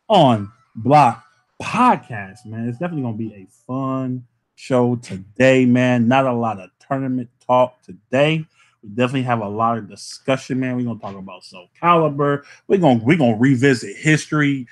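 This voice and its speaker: A man hosts a casual podcast in an easygoing voice of middling pitch. He talks loosely and tacks "man" onto almost every phrase as he gives shout-outs. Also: normal speed. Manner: laid-back, says "man" constantly, shouting out players. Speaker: podcast host.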